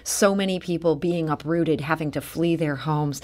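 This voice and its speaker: A woman hosting a public radio interview, asking questions with a lively, rising delivery. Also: low-pitched, normal speed. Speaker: radio host.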